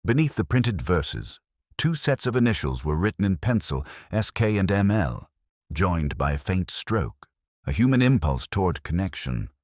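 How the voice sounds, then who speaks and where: A man voicing a weary, erudite police inspector who recounts a case in measured, literary first-person narration. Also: sits low, sing-song, no room sound, clean studio sound. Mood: brooding, measured. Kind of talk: scripted first-person detective story narration.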